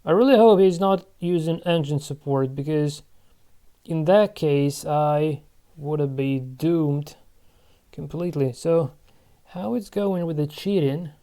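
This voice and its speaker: A man in his thirties giving casual commentary while playing a board game online, musing aloud to himself in a halting way. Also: fairly high pitch, warm tone. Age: 30s. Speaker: channel host playing online board games.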